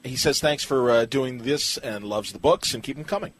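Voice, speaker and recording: A man's voice: mid-range voice, moderate pace, a little hiss or hum. A man hosting a casual podcast interview, putting questions to his guest and reading out those sent in by listeners.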